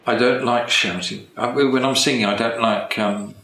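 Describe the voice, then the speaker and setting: A man in his sixties from England, an amateur choir singer talking softly and hesitantly in a documentary interview. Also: medium pitch, steady tone, moderate pace, gravelly. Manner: soft-spoken, hesitant, chuckling. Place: living room with leather sofas. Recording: roomy sound with music behind.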